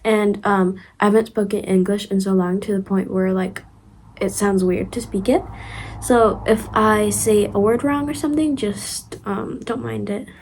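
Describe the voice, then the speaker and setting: A woman's voice: medium pitch, steady tone, normal speed, darkish tone, slight background noise, rough recording. Young woman, Ukrainian accent, casual rambling vlogger, lots of fillers. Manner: chatty, rambling, many fillers and restarts, giggly asides. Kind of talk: casual storytime vlog.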